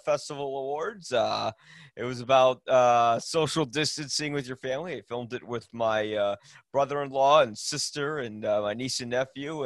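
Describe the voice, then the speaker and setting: A man's voice: medium pitch, dry recording. A young man hosting an interview show, talking in a hesitant casual way with many fillers. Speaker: podcast host.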